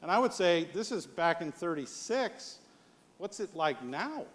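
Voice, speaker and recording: American male conference speaker, notably high voice, conversational delivery with checking questions, long hall reverb. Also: sing-song, a little slow. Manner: conversational, rhetorical questions with "right?" tags. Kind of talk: live leadership presentation.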